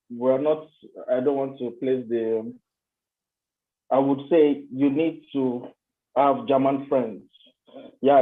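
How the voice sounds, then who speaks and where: A man moderating a session, talking about his experience in a halting way with many restarts. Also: mid-range voice. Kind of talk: casual talk about experience. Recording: tinny phone-like line.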